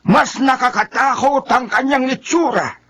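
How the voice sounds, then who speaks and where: An actor playing a forceful, commanding folk healer who battles evil spirits in a Filipino radio horror drama, with emphatic delivery and a Filipino accent. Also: male voice, extremely high pitch, a little slow, full character voice. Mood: grave, explaining.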